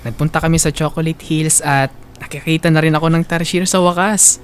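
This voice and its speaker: A woman's voice: sits low, fairly even intonation, fairly quick, smooth, soft background music, natural voice. A woman acting as a young Filipina telling her love story in a radio drama. She narrates in the first person, sentimental and confiding, with fond attachment in the delivery. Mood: fond, wistful.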